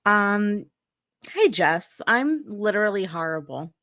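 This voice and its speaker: A woman's voice: medium pitch, very animated, takes it slowly, fairly bright tone, dry recording, studio quality. A woman co-hosting a casual pop-culture podcast, talking in a dramatic, exclamatory, sweary way and saying "like" a lot. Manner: filler-heavy, heavy use of "like". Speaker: podcast co-host.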